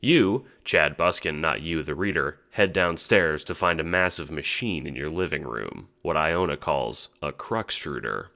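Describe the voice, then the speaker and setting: Deep, creaky-voiced man narrating an audio story. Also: lively intonation, unhurried, hardly any pauses, sharp tone. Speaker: narrator and producer of an audio drama. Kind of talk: scripted second-person comedic narration.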